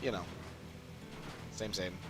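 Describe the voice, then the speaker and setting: A man with a fairly low voice streams a video game. He talks casually and in a rambling, halting way. Game music plays clearly behind him. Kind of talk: casual livestream chat.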